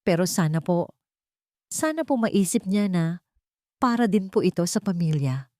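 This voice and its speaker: A woman's voice: on the low side, animated, normal speed, darkish tone, no echo, natural voice. A scheming daughter-in-law played by a woman narrator pleads in a broken, sobbing voice, full of melodrama. It is storybook drama, recorded dry. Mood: tearful pleading. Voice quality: broken, sob-filled voice.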